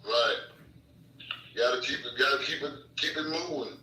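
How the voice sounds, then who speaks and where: A man in his forties co-hosting a casual live chat, relaxed and repeating words as he goes. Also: medium pitch, normal speed. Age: 40s. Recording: roomy, distant, faint noise.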